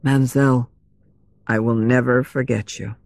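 Lady reading as a lovesick young gentleman, earnest and insistent, lowish voice, slow storybook delivery. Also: expressive, creaky, no room sound, heavily acted.

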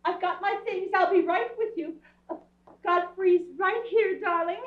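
A woman's voice: very high-pitched, unhurried. A woman playing a scatterbrained, doting society mother in a gushing, theatrical staged radio play. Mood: coaxing. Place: theatre stage before an audience. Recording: echoey stage, far mic, muffled.

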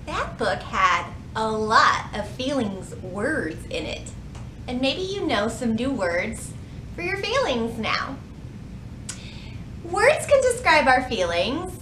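A woman in her thirties presenting a children's storytime, with expressive, carefully phrased delivery meant for small children. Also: high voice, slow pace, echoey room, slight background noise, rough recording. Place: indoor library room.

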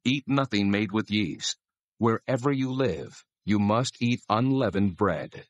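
Low, commanding divine voice acted by a man. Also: no echo, dull audio, natural voice. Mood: solemn, commanding. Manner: measured, authoritative, instructing. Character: God, solemn commanding male deity giving laws. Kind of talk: dramatized scripture reading.

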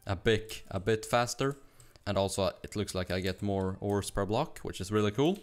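A young man from Sweden with a Swedish accent, chatting fast and rambling with laughs while narrating his gameplay. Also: lowish voice. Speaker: gaming YouTuber. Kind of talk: casual gameplay commentary.

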